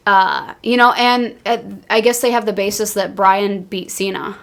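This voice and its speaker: Casual woman co-hosting, mid-pitched voice. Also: fairly even intonation, moderate pace, fairly bright tone. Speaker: podcast co-host. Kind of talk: casual wrestling discussion.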